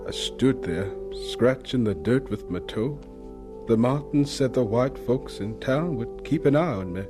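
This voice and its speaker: A man playing a gentle, humble older professor reminiscing in a radio drama, husky, reflective and plainspoken. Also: mid-range voice, expressive, unhurried, over music, close to an everyday voice. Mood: wistful.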